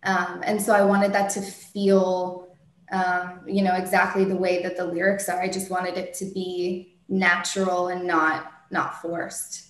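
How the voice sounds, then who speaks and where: A woman, a singer-songwriter, answering interview questions in a soft soprano voice, thoughtful, with many ums and restarts. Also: lowish voice, flat delivery, a little slow, echoey room.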